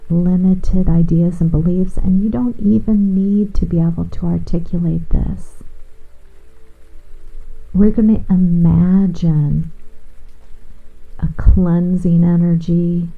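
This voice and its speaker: Very slow, low-voiced woman guiding sleep hypnosis. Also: creaky. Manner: soothing, hushed, drawn-out phrases with long pauses. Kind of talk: guided sleep meditation, hypnosis narration. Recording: muffled, band-limited, with music and rain. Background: soft ambient music and gentle rain.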